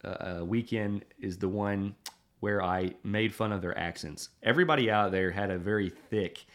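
A man's voice: on the low side, normal speed, fairly bright tone. A man, a preacher hosting his podcast, chatting and telling a self-deprecating story with lots of fillers. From Tennessee. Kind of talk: casual podcast chat and storytelling.

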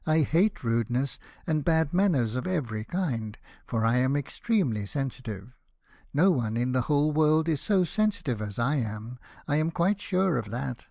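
A man plays a vain storybook firework rocket who takes offence at everything. He declaims with pompous indignation and wounded self-pity. The tone is mellow and dark, in a dry recording. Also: medium pitch, lively intonation, normal speed, gravelly, no room sound, voice pushed up. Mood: offended. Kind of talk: dramatized storybook reading.

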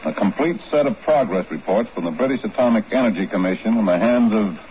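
An actor playing a reluctant, hedging intelligence chief in a 1950s radio drama. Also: male voice, fairly low pitch, expressive, quick pace, slight background noise, natural voice. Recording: muffled 1950s radio recording.

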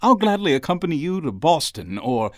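An actor playing an eccentric, exuberant writer in a radio drama, with florid, theatrical, old-fashioned courtly speech. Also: male voice, fairly high pitch, big pitch swings, fairly quick, dry recording, studio quality. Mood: eager, courtly.

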